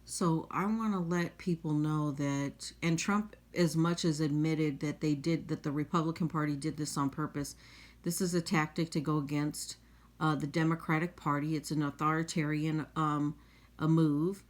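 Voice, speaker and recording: Woman vlogging about politics off the cuff, kinda low voice, halting but emphatic. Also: steady tone. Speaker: vlogger commenting on news. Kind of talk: unscripted political commentary.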